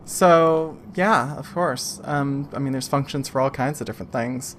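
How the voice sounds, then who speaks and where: Guy playing a female computer program driver in a tabletop game, measured, no-nonsense, mid pitch. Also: a little hiss or hum, natural voice. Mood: matter-of-fact. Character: female computer program, seasoned, matter-of-fact getaway driver.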